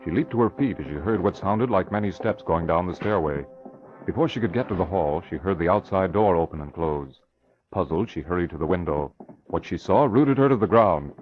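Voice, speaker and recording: Casting: male narrator, deep voice, 1940s radio storytelling style, muffled vintage sound. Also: animated, fairly quick, soft background music. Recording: dull, faintly noisy old radio recording.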